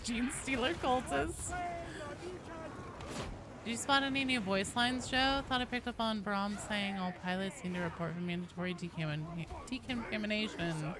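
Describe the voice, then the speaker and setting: A woman game streamer chatting casually with viewers, informal and sweary, reading and answering their comments as she goes. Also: medium pitch. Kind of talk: casual chat with viewers while gaming. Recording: faint background noise.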